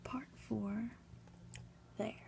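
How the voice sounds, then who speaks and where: Slow, whispering woman reading ASMR. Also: a little high, fairly even intonation, a little hiss or hum. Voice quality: soft, breathy whisper. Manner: gentle, unhurried, deliberate delivery. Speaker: ASMR reader.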